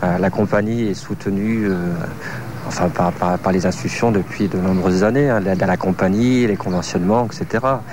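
A man in his thirties, a choreographer from France, answering interview questions in a halting way, searching for words with many fillers. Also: deep voice, steady tone, noisy background. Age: 30s.

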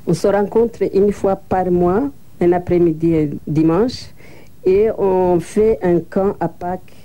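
A woman's voice: fairly low pitch, slow, dark tone. A woman answering interview questions plainly and matter-of-factly, with hesitant fillers. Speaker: interview guest, association co-founder.

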